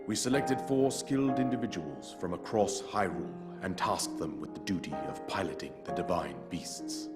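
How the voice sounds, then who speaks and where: A man voicing a solemn old king in a video game, mourning his lost kingdom. His voice sits very low and he speaks in a grave, formal, measured way, with orchestral score clearly playing underneath. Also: natural voice. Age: older. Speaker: narrator of past events in a cutscene. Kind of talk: video game cutscene voice acting. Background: orchestral music.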